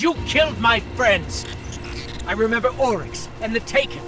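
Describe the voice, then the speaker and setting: Small anxious robot sidekick for a video game. Animated, earnest, loud score underneath. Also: male voice, fairly high pitch. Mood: indignant. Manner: expressive, worried, earnest. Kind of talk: dramatic video game companion acting.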